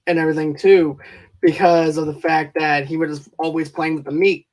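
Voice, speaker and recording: A man's voice: a little high, little pitch movement, normal speed, darkish tone. Chuckly man hosting a movie podcast. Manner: rambling, self-correcting, chuckling. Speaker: podcast host. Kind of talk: casual movie review chat.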